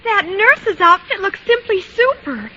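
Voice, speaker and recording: A woman's voice: very high voice, sing-song, unhurried, sharp tone, exaggerated voice. An actress playing a perky, naive teenage schoolgirl in an old radio comedy, gushing and earnest. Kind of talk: 1940s-50s radio comedy acting. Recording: muffled, hissy old radio recording.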